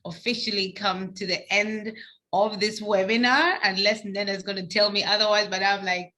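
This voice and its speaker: Bright-voiced Swahili-speaking woman hosting. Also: mid-range voice, fairly even intonation, moderate pace. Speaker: webinar host. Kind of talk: closing remarks on a webinar. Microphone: video call.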